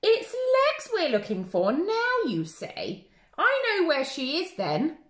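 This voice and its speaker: Sweet helpful butterfly a lady does, high sing-songy and slow, echoey room. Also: huge pitch swings, exaggerated voice. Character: kind helpful little butterfly, eager and gentle. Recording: roomy echo.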